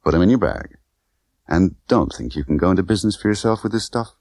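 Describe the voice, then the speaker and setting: A man's voice: deep voice, lively intonation, quick pace, darkish tone, dry recording, studio quality. A man voicing a tough, condescending young woman smuggler who talks in clipped, bossy slang. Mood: brisk, commanding.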